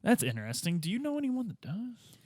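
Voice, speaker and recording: A man voicing a friendly detective in tabletop roleplay, casual and hesitant, gently pressing with repeated phrases. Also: sits high, wildly swooping pitch, brisk, dry recording, studio quality, pitched above the performer's own voice, heavily acted. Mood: chummy, probing. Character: male detective playing good cop, chummy, gently pressing.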